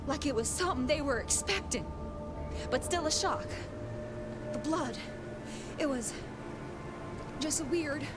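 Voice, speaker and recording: A high-pitched Southern belle socialite, with an American Southern accent and folksy, theatrical phrasing. She sounds like an obsessively devoted devotee in a video game. Loud music plays underneath. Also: measured pace, pauses often. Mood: confiding. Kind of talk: dramatic video game voice acting.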